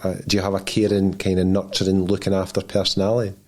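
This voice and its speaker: A man's voice: deep voice, monotone, fast, darkish tone. A man hosting a podcast, interviewing in a casual, conversational way.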